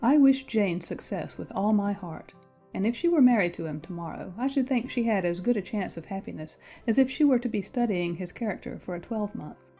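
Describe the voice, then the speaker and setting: Woman doing a worldly young regency lady, soft dark husky voice, matter-of-fact advice, audiobook drama. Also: medium pitch, animated, soft background music. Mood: frank, resigned. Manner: measured, matter-of-fact, formal period diction. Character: pragmatic, worldly young gentlewoman, coolly advising.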